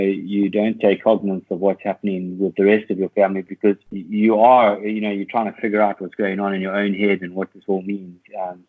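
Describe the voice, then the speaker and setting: A man with a South African accent, talking as a podcast guest over an internet call, thoughtful and hesitant, often restarting his sentences. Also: lowish voice. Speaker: podcast guest, older brother. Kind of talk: casual conversation. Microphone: Skype call. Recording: tinny internet call audio.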